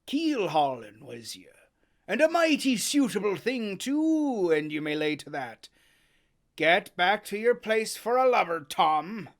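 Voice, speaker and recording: A reader voicing a cunning, hearty one-legged old pirate innkeeper who talks loudly in sailor's slang. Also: medium pitch, very animated, measured pace, pitched above the performer's own voice. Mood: blustering. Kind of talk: audiobook character voice.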